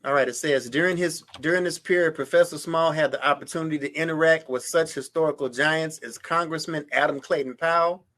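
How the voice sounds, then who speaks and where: A man's voice: on the high side, steady tone, brisk, hardly any pauses, fairly bright tone. A man hosting a history show, reading a biography aloud and breaking off into incredulous, mocking commentary on clips. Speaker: show host.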